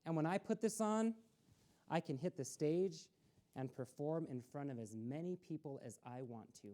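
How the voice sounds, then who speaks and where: A woman's voice: low voice, expressive, moderate pace, mellow. A woman reading a picture book aloud to children on a community TV storytime and encouraging them. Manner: encouraging, talks straight to children. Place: community access TV studio.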